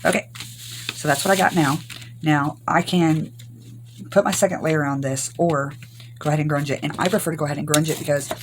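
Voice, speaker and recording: An older woman from Arkansas, hoarse from recent illness, chatting through a rambling crafting tutorial. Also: deep voice, fairly even intonation, brisk, a little hiss or hum. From Arkansas, US South. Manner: rambling, homey, many ums. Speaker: craft channel host.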